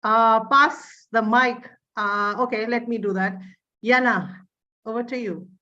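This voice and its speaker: A woman's voice: fairly high pitch, fairly even intonation, measured pace, bright, forceful tone, highs cut off. A woman from India hosting an online group call, hesitant with many fillers. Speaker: host.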